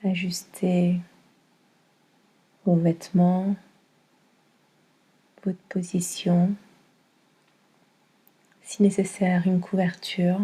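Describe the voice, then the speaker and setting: A woman guiding a yoga nidra meditation in a calm, soothing manner, with a soft, breathy delivery. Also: fairly low pitch, drawn-out words, pauses often. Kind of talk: guided meditation.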